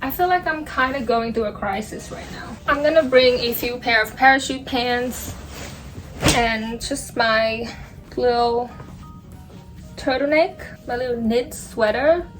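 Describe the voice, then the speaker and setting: A Vietnamese woman in her twenties, chatting excitedly and poking fun at herself while packing at home, filmed on a phone. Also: on the high side, measured pace, over music, rough recording. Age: 20s. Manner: chatty, excitable and self-mocking. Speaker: vlogger. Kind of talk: casual vlog chat.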